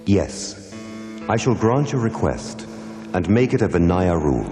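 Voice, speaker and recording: A man voicing a deep, echoing serene spiritual master. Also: big pitch swings, full character voice. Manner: solemn, measured, authoritative. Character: calm, wise enlightened male spiritual teacher, firm and compassionate. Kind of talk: animated religious drama dialogue. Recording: echoey hall reverb, music behind.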